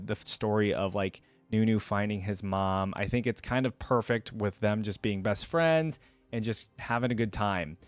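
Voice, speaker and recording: A man's voice: fairly low pitch, clean tone, no echo. A man giving casual, off-the-cuff commentary as a reaction video host, thinking aloud as he goes.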